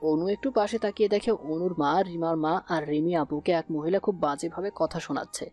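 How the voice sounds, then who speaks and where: A man's voice: a little high, steady tone, moderate pace, a little mellow. A man reading scripted narration for a story. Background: music.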